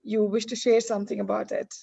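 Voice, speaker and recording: A woman's voice: medium pitch, flat delivery, fast talker, creaky, mellow, husky. A woman hosting an online panel discussion in an effusive, polite manner. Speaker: panel host. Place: online video call. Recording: dull lo-fi video call audio.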